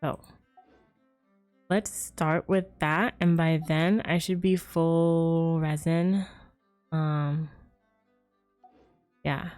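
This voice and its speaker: A woman chats casually as a game streamer, easygoing and a bit hesitant. Her voice is slightly low and soft-edged, with a very relaxed tone. Faint music plays behind her. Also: extremely slow, long pauses. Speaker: livestreamer. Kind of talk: casual gaming stream chat.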